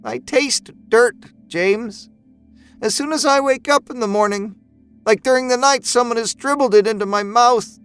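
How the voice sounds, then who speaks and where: A man's voice: extremely high pitch, moderate pace, a bit bright, smooth, soft background music, pitched above the performer's own voice. A man voicing an anxious, grieving older mother in a horror audio story, pleading and fretful. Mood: distressed. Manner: pleading, fretful, self-effacing.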